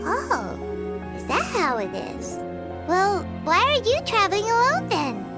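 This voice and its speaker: A little fairy girl from a video game, very high and childlike. She speaks in unhurried, chirpy phrases that swoop up and down, over clearly audible music. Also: wildly swooping pitch, exaggerated voice. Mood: let down. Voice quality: squeaky, childlike. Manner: chirpy, refers to herself in third person. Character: small excitable floating fairy girl companion. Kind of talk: video game dialogue acting.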